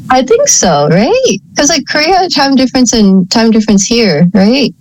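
A woman from Thailand co-hosting a casual podcast over a remote call, chatty and playful, prone to quick side tangents. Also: a little high, sing-song, darkish tone, no room sound, poor audio. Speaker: podcast co-host. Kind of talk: casual chat.

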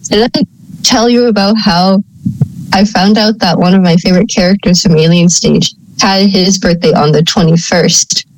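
A woman's voice: mid-range voice, fairly even intonation, normal speed, no echo, low-quality recording. Chatty, wry young woman co-host. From Thailand. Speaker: podcast co-host. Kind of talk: casual chat.

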